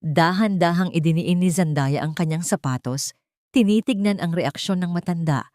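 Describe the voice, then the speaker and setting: A woman's voice: fairly low pitch, normal speed, darkish tone, dry recording, clean studio sound. A woman reading a dramatic scripted story as its narrator.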